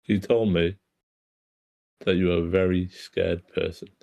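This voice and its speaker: A man playing a direct, teasing teenage girl in a screenplay table read, with a husky voice. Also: low voice, level tone, measured pace, a little mellow, muffled recording. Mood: teasing, probing.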